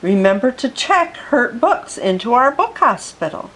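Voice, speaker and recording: A woman's voice: a little high, sing-song, unhurried, a bit bright. A woman reading aloud, voicing a strict female school librarian who was once a dragon and lectures the children on library rules. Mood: stern.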